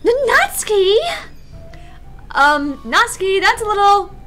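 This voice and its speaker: A woman voicing a prim, shy high-school girl poet in a visual novel, formal in speech and stammering when flustered. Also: lively intonation, unhurried, bright, forceful tone, soft background music, full character voice. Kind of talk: visual novel voice-over read aloud.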